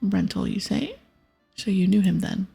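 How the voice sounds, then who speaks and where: A woman's voice: mid-range voice, normal speed, warm tone, soft background music, exaggerated voice. Whispering, earnest adventurer read by a woman. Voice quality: breathy, whispering. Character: earnest adventurer investigating a crime.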